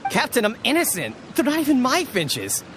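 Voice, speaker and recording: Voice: medium pitch, wildly swooping pitch, normal speed. A voice actor playing a sheepish young village man who stammers and dodges blame. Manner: evasive, stammering, shrugging. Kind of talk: game voice acting. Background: game music.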